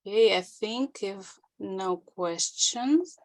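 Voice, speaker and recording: A woman with a Russian accent giving an informal talk in halting, non-native English, often searching for words. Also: medium pitch, rough recording. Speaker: conference speaker. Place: online video call.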